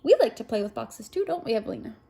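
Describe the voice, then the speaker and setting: A woman's voice: on the high side, sing-song, fast talker, gravelly, mellow. A woman reading a picture book aloud at story time, expressively, with little asides to a small child.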